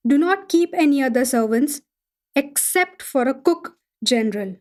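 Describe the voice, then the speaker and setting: An observant Indian lady traveller of the 1930s in a radio drama. Her voice sits high, and she explains daily life in formal, measured, carefully composed sentences. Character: observant Indian woman travel writer living in 1930s London. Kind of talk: scripted dramatic reading of a travel account.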